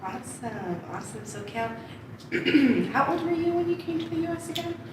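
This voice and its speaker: Woman interviewer, encouraging, lowish voice, far from the mic in a super echoey room. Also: animated, normal speed. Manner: encouraging, affirming. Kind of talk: oral history interview. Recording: very echoey, distant, noisy room.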